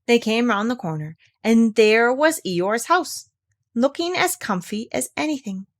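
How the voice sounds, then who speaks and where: A woman narrating a children's storybook in an expressive read-aloud style. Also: fairly high pitch, measured pace. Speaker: storybook reader.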